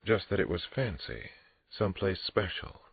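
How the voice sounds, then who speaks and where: A man doing a soft, delighted elegant woman. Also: deep voice, sing-song, moderate pace, creaky, husky, no room sound, pitched above the performer's own voice, full character voice. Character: elegant woman, romantic girlfriend. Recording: dry, lo-fi, phone-like.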